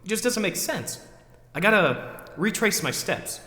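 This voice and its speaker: An actor performs an overwrought man thinking out loud in a theatrical monologue. His voice is bright and fairly high, with big swings in pitch as he frets and reasons with himself. It rings through an echoey hall. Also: big pitch swings, unhurried, full character voice. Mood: puzzled, fretful. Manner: ranting, then talking himself down. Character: overwrought, neurotic man agonizing over forgotten groceries, self-questioning. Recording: echoey hall with long reverb.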